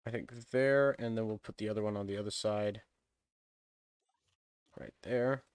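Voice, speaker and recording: A man's voice: fairly low pitch, moderate pace, clear, resonant tone, no room sound. Young guy w a stuffed up nose rambling thru a game stream, um-ing a lot, dry close mic sound. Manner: rambling, thinking aloud with many fillers. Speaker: livestream host. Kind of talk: casual game livestream chat. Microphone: desk microphone.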